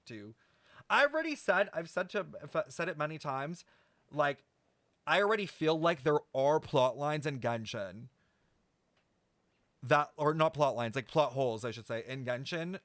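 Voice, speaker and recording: A man's voice: a little high, a bit bright, no echo. A man giving casual game stream commentary, rambling as he thinks aloud and swears freely.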